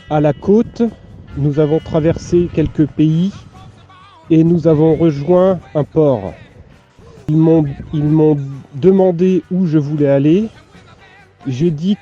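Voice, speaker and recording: A man playing a naive letter-writer who dictates slowly and earnestly, speaking the punctuation aloud. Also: a little high, dark tone. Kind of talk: improvised comic letter. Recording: slightly muffled, faint music behind.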